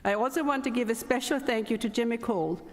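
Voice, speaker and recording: A woman's voice: on the high side, fast. Danish-accented woman speaking in a reverberant hall. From Denmark. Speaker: ceremony host, chapter president. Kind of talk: formal welcome speech.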